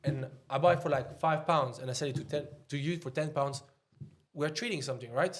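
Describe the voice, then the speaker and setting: Swiss French man, rambling casual talk. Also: a little high. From Switzerland. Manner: loose and halting, heavy on "like" fillers. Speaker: podcast guest, trader.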